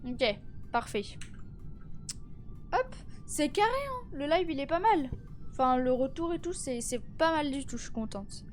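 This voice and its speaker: A woman's voice: sits high, lively intonation, a little slow, long pauses, soft background music. A teenage girl streaming live, chatting casually with viewers; she sounds tired and stuffy from a cold, with lots of fillers and restarts. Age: teens.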